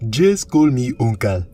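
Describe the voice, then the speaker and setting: A man's voice: mid-range voice, dark tone, exaggerated voice. A man playing a big, avuncular cook in a fantasy audio drama, earnest and easygoing in manner. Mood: chuckling.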